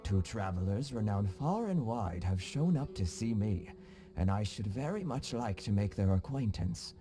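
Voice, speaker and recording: A man voicing a genial, wily middle-aged nobleman with a courtly, unhurried manner in a video game cutscene. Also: lowish voice, expressive, over music, close to an everyday voice. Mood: welcoming, genial. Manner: courtly, unhurried, slyly affable.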